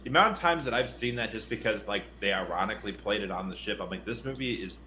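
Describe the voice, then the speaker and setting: A man chatting casually about movies on a podcast, blunt and quick to swear. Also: lowish voice, expressive, sharp tone, a little hiss or hum. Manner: blunt, profane. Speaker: podcast panelist.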